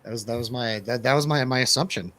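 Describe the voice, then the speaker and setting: A man's voice: medium pitch, fast, fairly bright tone. A man in casual movie review conversation, talking in an enthusiastic, slangy way. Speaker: film review show host.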